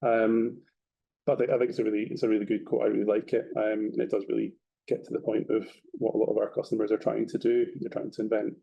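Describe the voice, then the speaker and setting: A man's voice: lowish voice, level tone, quick pace, gravelly, warm tone, husky, lo-fi sound. A man with an Edinburgh Scottish accent, a guest in a webinar talking in an easygoing way and often repeating words as he goes. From Scotland. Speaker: guest, property company representative. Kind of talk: conversational webinar talk.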